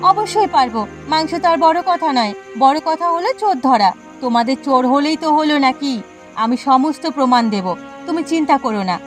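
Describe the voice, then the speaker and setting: A woman's voice: high-pitched, animated, normal speed, faint music behind. A woman voicing a nosy, suspicious village neighbour in a cartoon, prying and insistent. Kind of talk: cartoon dialogue acting.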